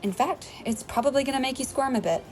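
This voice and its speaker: An actress playing an earnest woman who narrates her life story in a measured, confessional film voice-over. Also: high voice, darkish tone, slight background noise, close to an everyday voice. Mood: earnest, confiding.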